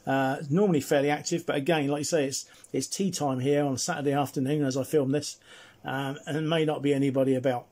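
A man chatting casually and at some length as he demonstrates a CB radio, in a friendly, slightly husky voice. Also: mid-range voice, steady tone, a little fast, darkish tone. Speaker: CB radio reviewer and operator. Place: indoors at a home radio desk.

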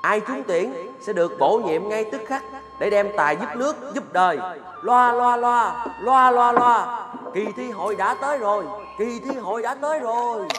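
A high-pitched male town crier declaims a royal proclamation in formal style. The voice rings with long reverb over prominent music, as in a TV folk tale. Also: moderate pace. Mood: proclaiming. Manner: declamatory, calling out.

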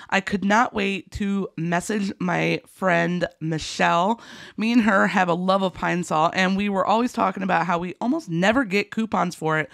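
A woman with a rough, gravelly voice hosting a couponing video, chatting excitedly and casually in a folksy way through deals in voice-over. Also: fairly low pitch, no room sound, studio quality. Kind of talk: enthusiastic casual deal rundown voice-over.

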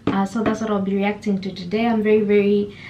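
A young woman hosting a reaction video, greeting viewers in a relaxed, unhurried way from her bedroom. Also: medium pitch, background noise. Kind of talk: casual reaction intro. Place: bedroom with gaming chair.

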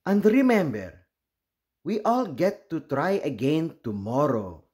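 A man voicing an encouraging, patient teacher of small children in a storybook, gentle and coaxing, with emphatic praise. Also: on the high side, big pitch swings, slow pace. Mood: upbeat.

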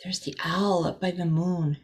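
A middle-aged woman reading a children's storybook aloud as a teacher, expressive and deliberate, with small asides to the listeners. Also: fairly low pitch, slightly rough. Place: small room indoors.

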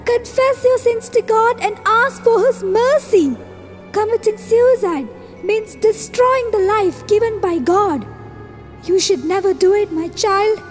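A woman voicing a gentle conscience who pleads earnestly and slowly. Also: very high-pitched, soft background music. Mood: pleading.

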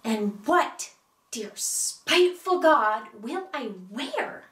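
Woman acting a neurotic single woman, comedic stage monologue, melodramatic, slightly high, unhurried, echoey. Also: lively intonation, fairly bright tone, exaggerated voice. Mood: anxious, exasperated. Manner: melodramatic, deliberate dramatic pauses. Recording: echoey room, distant mic.

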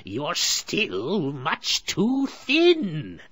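A man voicing a crafty, greedy old witch who drags out her words and turns shrill and harsh. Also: huge pitch swings, fairly bright tone, husky, no room sound, heavily acted. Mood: menacing. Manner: drawn-out, wheedling then shrill and harsh.

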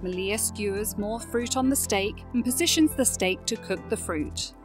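A woman reads scripted narration for a fantasy audio drama. Her voice sits at an average pitch, with faint music behind it. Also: normal speed.

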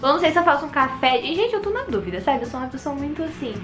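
A woman's voice: high voice, big pitch swings, rapid-fire, music in the background. A young woman from Brazil, chatting casually and giggling to a handheld camera in her room. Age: young adult. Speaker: vlogger. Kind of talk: casual vlog chat to camera. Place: small bright bedroom and kitchen indoors. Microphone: handheld camera at arm's length.

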